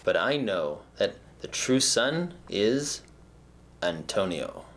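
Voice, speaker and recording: A man's voice: fairly low pitch, big pitch swings, slow, a bit bright, exaggerated voice. A man playing a self-important, oblivious detective in a comedy audio drama, speaking in a pompous, theatrical way. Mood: smug, dramatic. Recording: echoey room, faint noise.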